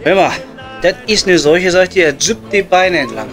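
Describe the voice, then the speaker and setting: A man's voice: high voice, normal speed, lightly acted. A man playing a crude, jovial coal miner with a Ruhr German accent in an improvised comedy audio play. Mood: blunt banter. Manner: blunt, joking, slangy. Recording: music behind the voice.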